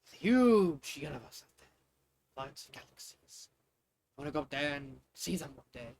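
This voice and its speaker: A man's voice: on the high side, expressive, moderate pace, vocal fry. A man voicing an earnest, enthusiastic young male cousin in an amateur fan fiction reading. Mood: earnest, grateful.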